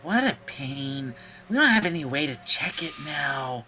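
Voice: mid-range voice, big pitch swings, unhurried, pushed and bright, slight background noise. A performer voicing a mischievous teenage boy schemer in a game fan dub, needling and casually dismissive. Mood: bored, dismissive.

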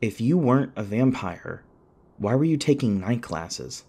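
A man playing an anxious, sarcastic young college student in an audio roleplay, rambling and halting in a wry, flustered way. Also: medium pitch, lively intonation, gravelly, mellow, breathy edge, exaggerated voice. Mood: curious, wry. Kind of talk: audio roleplay acting, one-sided dialogue.

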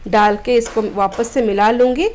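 A woman's voice: a little high, fairly even intonation, moderate pace, darkish tone, slight background noise. A woman from Odisha, India, narrating a home cooking recipe step by step in a friendly instructional way as the host of a cooking channel. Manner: friendly step-by-step instructions, mixing English words.

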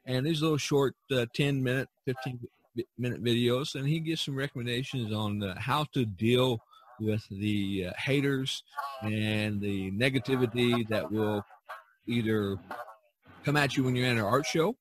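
A man hosting a casual podcast over a rough internet-call connection. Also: mid-range voice, moderate pace. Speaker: podcast host. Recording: poor internet-call audio, faint music.

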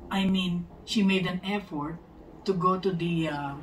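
A woman's voice: on the low side, fairly even intonation, a little slow, far from the mic, slight background noise. A middle-aged Filipino woman with a Filipino accent, chatting and thanking viewers in a casual home vlog, seated on a living-room sofa. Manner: chatty, coaching, mixes in Tagalog. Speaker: vlog co-host. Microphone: far camera.

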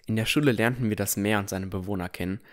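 A man's voice: lowish voice, fast talker. A man narrating a fantasy audio drama in the first person from a script.

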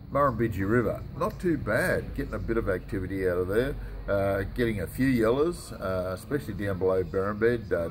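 A man in his sixties with an Australian accent gives an informal report to camera in a laid-back, plain-spoken, colloquial way. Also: sits low. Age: 60s. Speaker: tackle shop presenter. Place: indoors in a tackle shop.

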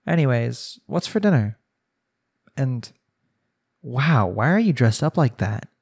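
A man's voice: mid-range voice, sing-song, slow pace, gravelly, a little mellow, breathy edge, full character voice. A man voicing a young newlywed husband in an arranged marriage, speaking conversationally in an intimate audio roleplay. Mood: casual, teasing.

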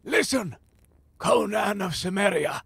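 An actor playing a frail, cursed philosopher in a fantasy audio drama, solemn and theatrical, with a gravelly, husky voice. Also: male voice, high voice, expressive, a little slow, fairly bright tone, no room sound, full character voice. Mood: ominous storytelling. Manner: theatrical, portentous, archaic phrasing.

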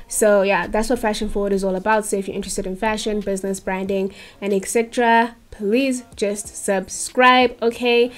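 A young woman from South Africa running her own channel in casual chat, bubbly and checking in with viewers, her voice a little worn, speaking into a desk mic in a small bedroom. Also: medium pitch. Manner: bubbly, talks to viewers with frequent "okay?". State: losing her voice. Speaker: YouTuber. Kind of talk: casual chatty vlog. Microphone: desk microphone.

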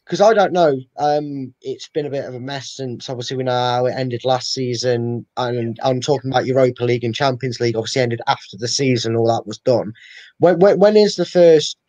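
A man's voice: mid-range voice, a little fast, darkish tone, no echo. A young man giving emphatic opinions in a casual football chat as a fan channel co-host. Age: young adult. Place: living room at home, indoors. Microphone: video call, webcam. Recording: dull lo-fi video call audio.

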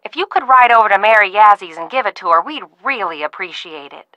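A woman's voice: mid-range voice, lively intonation, fast talker, bright, forceful tone, dry recording, poor audio. An actress playing a chatty, motherly ranch-owner aunt in a video game, folksy and fondly scolding over the phone. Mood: brisk, friendly. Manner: folksy, talkative, fond scolding.

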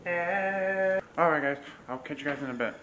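A man's voice: on the high side, normal speed, muffled recording, background noise. A young man vlogging while he cooks in a small home kitchen, mumbling asides and humming along, with the camera propped on the counter. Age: young adult. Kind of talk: casual cooking vlog. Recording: music playing behind. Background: music playing.

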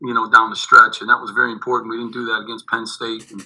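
Halting middle-aged coach on a video call. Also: male voice, on the low side, flat delivery, bright, forceful tone, rough recording. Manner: halting, repeats words, many fillers. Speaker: head basketball coach. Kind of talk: postgame press conference answers. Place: indoors in front of a team backdrop. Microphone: video call webcam.

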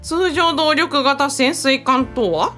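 Woman doing a polite ladylike aide in a radio drama, highish lively voice, bit of music behind. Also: slow, full character voice. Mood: polite, concerned. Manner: polite, refined feminine phrasing. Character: polite, composed female aide to the boss.

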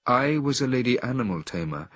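A man's voice: medium pitch, lively intonation, a little fast, a bit bright, no echo, clean studio sound, voice pushed up. A man voicing a woman who was once an animal tamer, telling of being caged, in an audiobook reading. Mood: resigned.

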